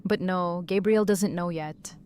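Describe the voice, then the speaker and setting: A woman's voice: lowish voice, a little slow, darkish tone, slight background noise, natural voice. A woman playing an emotional, sarcastic, blunt mother-to-be in an audio drama. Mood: exasperated, sarcastic.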